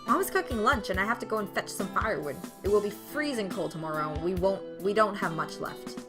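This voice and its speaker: A woman voicing a kindly father in a visual novel, gently instructive and lightly teasing toward his young son. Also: medium pitch, expressive, fairly quick, over music, natural voice. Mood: gentle, instructive.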